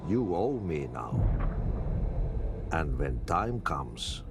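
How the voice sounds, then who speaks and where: A man's voice: sing-song, gravelly, heavily acted. A man playing an ominous, mysterious debt collector in a video game, speaking with menace. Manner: slow, menacing. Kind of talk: video game cutscene acting. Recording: heavy noisy rumble behind.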